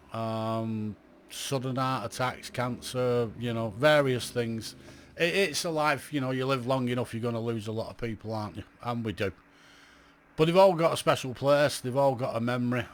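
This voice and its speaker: A British man in his fifties recalling his years in radio in a chatty, rambling way, full of fillers and self-mocking asides. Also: mid-range voice. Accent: British English. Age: 50s. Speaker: radio hobby vlogger. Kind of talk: reminiscing monologue.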